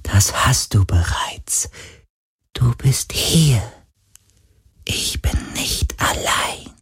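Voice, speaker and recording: A man narrating puts on an old, lonely spirit of the sea, a glowing sphere of slime. Its voice sits high and thin and drags slowly along. Much of it comes out as a husky, breathy whisper. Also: dry recording, studio quality, exaggerated voice. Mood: frightened. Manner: slow, high, airy whispering. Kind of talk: audiobook character voice.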